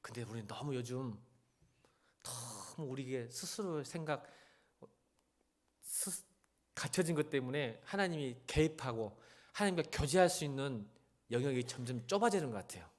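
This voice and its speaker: Male pastor, sermon delivery, highly animated pitch swings, conversational exhortation with pointed rhetorical questions. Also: moderate pace, pauses often. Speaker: senior pastor. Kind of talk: church sermon with Bible reading.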